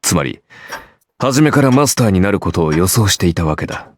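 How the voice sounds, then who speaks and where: A man playing a cool, sardonic servant spirit warrior in an anime game, speaking in a measured, taunting, formal way. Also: sits low, very animated, a little hiss or hum. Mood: probing. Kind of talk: Japanese anime game voice acting.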